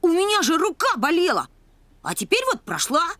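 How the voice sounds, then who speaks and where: Boastful schoolboy dog for animation: high, very lively pitch, whiny swagger. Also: female voice, normal speed, full character voice. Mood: defensive, cocky. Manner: swaggering, whiny when challenged. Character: cocky, sporty boy dog, boastful schoolkid. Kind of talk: animated cartoon acting.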